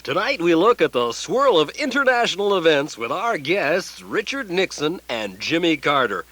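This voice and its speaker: A man plays a theatrical panel host in a satirical radio show. The voice is high for a man and very lively, probing in manner, recorded dry and close. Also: normal speed, dry recording. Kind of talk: parody panel discussion.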